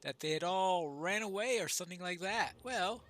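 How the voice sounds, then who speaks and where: A man's voice: high-pitched, normal speed, fairly bright tone. A man narrating his video game playthrough in a casual, wry, musing way. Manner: wry, musing, mock-polite.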